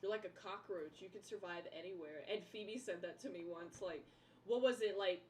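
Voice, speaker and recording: A woman's voice: mid-range voice, normal speed. A young woman sits a little away from the microphone, with some noise around her. She chats and tells stories casually, using emphatic slang and swearing. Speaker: livestreamer. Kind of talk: casual chat, storytelling. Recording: distant from mic, background noise.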